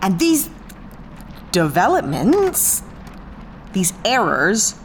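A woman's voice: mid-range voice, huge pitch swings, extremely slow, slight background noise, heavily acted. A woman playing a no-nonsense spaceship captain in a sci-fi audio drama, dry, bossy and sarcastic. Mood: exasperated. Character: brisk, no-nonsense female spaceship captain, sardonic and exasperated.

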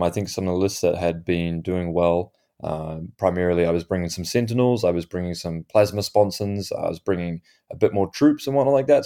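A man being interviewed as a podcast guest, his voice slightly croaky, answering thoughtfully with frequent restarts. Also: low-pitched, mellow. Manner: thoughtful, with many fillers and restarts. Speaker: guest, tournament winner.

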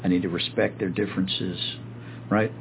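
A man hosting a podcast, reflective in casual chat and quick to laugh. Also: deep voice, fairly even intonation. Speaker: podcast host. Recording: lo-fi phone-like sound with faint hiss.

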